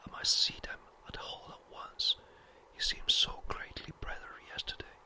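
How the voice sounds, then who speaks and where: Brief: fussy elderly country parson, clipped and hurried, whispered by the narrator. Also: sharp tone. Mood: brisk. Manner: brisk, a little impatient. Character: fretful elderly village rector, a man.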